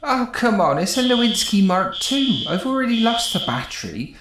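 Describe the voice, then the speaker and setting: An actor playing a dim, unflappable British spaceship cleaner, laid-back and matey, in a farcical audio comedy. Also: male voice, high-pitched, very animated, normal speed, reverberant, background noise. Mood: grumbling.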